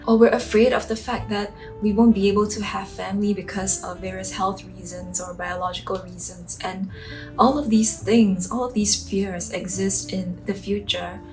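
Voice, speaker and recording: A woman in her late twenties from Singapore, earnestly sharing personal reflections in a vlog. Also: mid-range voice. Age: late 20s. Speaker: vlogger. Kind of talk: reflective personal talk to camera.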